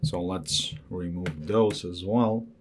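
A man hosting an electronics repair video, walking through a teardown at an unhurried pace and thinking aloud as he goes. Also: low-pitched, noisy background. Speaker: electronics repair channel host. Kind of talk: hands-on repair walkthrough.

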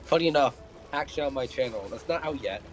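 A man's voice: medium pitch, lively intonation, fast. A young man chatting over a game, rambling and repeating himself as he tells a story. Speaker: fellow player. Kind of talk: casual gaming chat.

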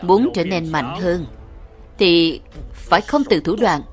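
A woman's voice: on the low side, lively intonation, slow pace. Woman reading an arrogant old male demon, over music. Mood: menacing. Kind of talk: animated fantasy dub.

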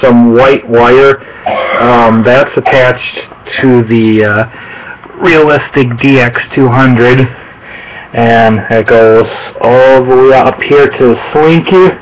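A man narrating a casual handheld show-and-tell in a halting, rambling way, correcting himself as he goes. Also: mid-range voice, steady tone, highs cut off, slight background noise. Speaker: hobbyist video host. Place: small room at home, indoors. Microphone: handheld camera microphone.

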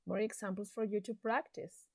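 A woman teaching an English lesson to secondary students, speaking slowly and deliberately with an encouraging classroom manner. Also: medium pitch, fairly even intonation, darkish tone, no room sound, clean studio sound. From Chile. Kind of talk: slow recorded classroom lesson.

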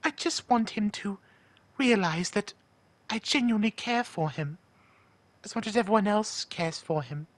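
A man voicing a doting, burdened owl demon prince, earnest and halting, in a cartoon-style monologue. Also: sits high, dry recording, pitched above the performer's own voice, close to an everyday voice. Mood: earnest, caring.

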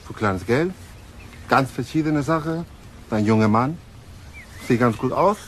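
An actor playing a hesitant witness who answers in short, clipped phrases in a German crime radio drama. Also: male voice, medium pitch, expressive, unhurried, slight background noise, close to an everyday voice. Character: hesitant male witness giving terse descriptions.

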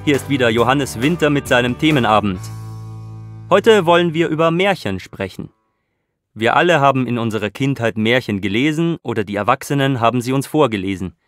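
A man voicing a courteous radio show host, medium pitch, clear and deliberate, dry studio sound with faint music under it, scripted listening-exercise dialogue. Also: expressive, a bit bright, no echo, natural voice. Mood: welcoming. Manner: polite, clearly enunciated. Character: friendly male radio host, middle-aged.

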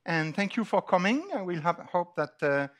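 A middle-aged man with a French accent giving an informal technical lecture in a halting, deliberate way, often hesitating. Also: high voice, lively intonation. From France. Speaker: lecturer opening a scientific workshop. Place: large dark auditorium, on stage.

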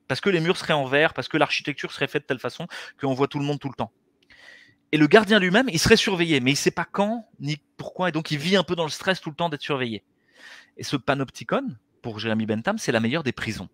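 A man's voice: medium pitch, a bit bright, no echo, studio quality. Earnest man on a headset video call. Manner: earnest, gestures with his hands while explaining. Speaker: interview guest, anesthesiologist and author. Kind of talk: explanatory conversational interview talk. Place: small indoor room. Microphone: headset mic on a video call.

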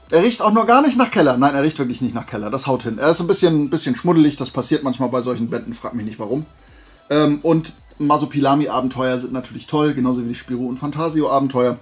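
A man chatting casually and colloquially as he hosts a show-and-tell haul video, with an enthusiastic collector's manner. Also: medium pitch. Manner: conversational, colloquial, enthusiastic collector's chat with self-posed questions. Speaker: host of a flea market haul video.

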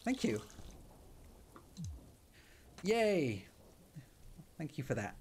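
A woman's voice: low-pitched, very animated, extremely slow, a little mellow, slightly rough. A woman streamer giving playful, teasing commentary while playing a game. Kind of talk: casual playthrough commentary.

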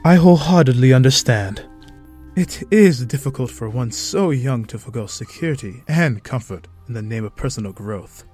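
A man playing a courteous aristocratic ambassador in a comic dub, formal and measured. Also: mid-range voice, expressive, darkish tone, husky. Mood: gracious. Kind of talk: dramatic comic dub acting. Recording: voice over music.

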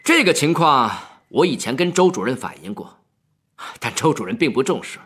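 A man's voice: medium pitch, very animated, moderate pace, fairly bright tone, husky. A man playing an officious, indignant assistant department head in an audio story, speaking in a stern, righteous, pointed manner.

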